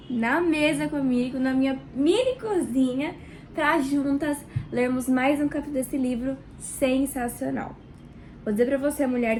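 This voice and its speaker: Congested Brazilian woman, high-pitched. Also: a little hiss or hum, poor audio. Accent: Brazilian Portuguese. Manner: warm, chatty, addresses viewer directly with pauses. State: nasal and congested from a cold. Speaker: book club host. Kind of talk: casual devotional reading vlog. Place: small home kitchen.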